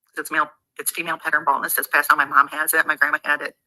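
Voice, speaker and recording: A woman with a husky voice, ranting sarcastically and profanely on a livestream. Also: sits low, little pitch movement, very fast, pushed and bright, rough recording. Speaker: livestreamer in a played clip.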